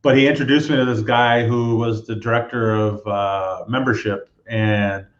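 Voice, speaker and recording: A man from Southern California, a guest telling his background in a relaxed, roundabout way. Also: mid-range voice, moderate pace, roomy sound, muffled recording. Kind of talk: casual interview storytelling.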